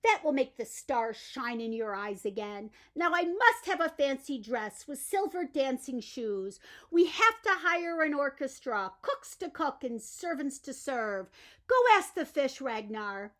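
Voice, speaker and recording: A woman's voice: high voice, sing-song, a little slow, fairly bright tone, exaggerated voice. A woman voicing an eager fisherman's wife who always wants more, emphatic and demanding, in a bedtime story. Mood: excited, bossy. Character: eager, ever-wanting fisherman's wife in a fairy tale.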